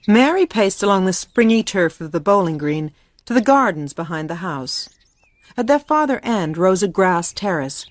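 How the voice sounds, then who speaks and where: A woman's voice: lowish voice, no room sound. A woman narrating a literary story for a radio play from a script.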